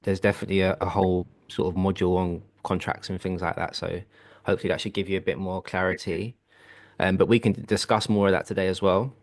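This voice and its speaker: A man coaching clients on a video call, explaining steps in a relaxed, reassuring way. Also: low voice, fast talker, no echo. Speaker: property business coach.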